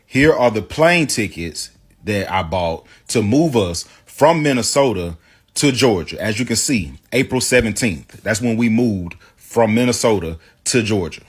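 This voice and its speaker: A man's voice: fairly low pitch, animated, a little slow, breathy edge. A man telling a storytime straight to his viewers, emphatic and defensive, rebutting claims point by point. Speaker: storytime vlogger. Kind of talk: casual storytime to camera.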